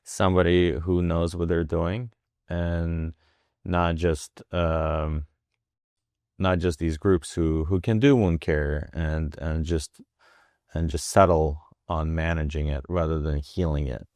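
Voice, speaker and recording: A man in his forties with a very low voice. He speaks as an interview guest, with halting phrasing, fillers and restarts. He is recorded dry and close, with no room sound. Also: fairly even intonation, darkish tone, dry recording. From Russia. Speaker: wound care physician.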